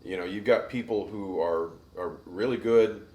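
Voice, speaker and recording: Deep-voiced man, halting speech, roomy. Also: darkish tone, a little hiss or hum. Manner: halting, repeats words before finishing thoughts. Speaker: guest singer. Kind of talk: casual interview chat. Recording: roomy with faint ambience.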